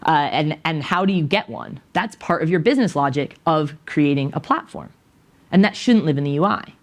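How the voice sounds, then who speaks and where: A woman in her thirties giving an energetic, conversational technical talk to a small audience in an indoor conference room, speaking into a handheld mic. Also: low voice, moderate pace. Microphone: handheld mic, far camera.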